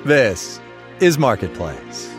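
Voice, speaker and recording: A man's voice: medium pitch, sing-song, slow pace, over music. An American man hosting a radio news show, reading scripted news conversationally with wry, informal asides. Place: dry broadcast studio.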